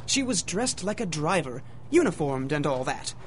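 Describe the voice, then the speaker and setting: A woman's voice: sits low, very animated, moderate pace, slight background noise. A woman narrating a scripted rhymed adventure story, with a dramatic, singsong rhythm.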